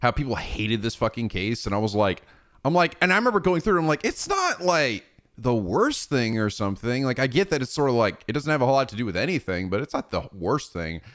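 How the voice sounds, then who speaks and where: A man's voice: mid-range voice, sing-song, fast talker, fairly bright tone. A man in his thirties chatting casually on a livestream, rambling and swearing freely with frequent laughs. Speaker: streamer.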